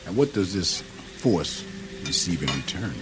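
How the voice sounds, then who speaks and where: An actor playing a calm, probing male abbot of a monastery in a radio play, with a measured, questioning manner. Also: low voice, over music.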